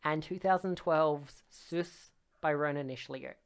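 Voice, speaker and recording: Aussie woman cohost, lower voice, casual chat w dry teasing delivery. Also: unhurried, clean tone. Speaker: podcast co-host.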